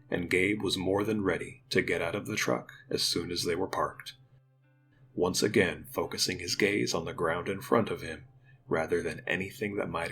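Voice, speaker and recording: A man's voice: low voice, gravelly, a bit bright. A man reading scripted, dramatic story narration for an audio drama.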